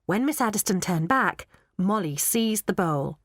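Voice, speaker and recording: A woman narrating a children's audiobook in a measured, even way. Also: mid-range voice, no echo, clean studio sound. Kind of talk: storybook narration.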